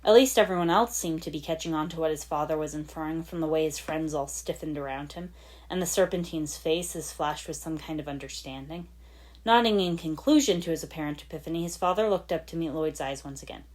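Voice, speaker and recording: A woman's voice: low voice, fairly quick, a little mellow. A woman reading fan fiction aloud as dramatic scripted story narration. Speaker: fan fiction podfic reader.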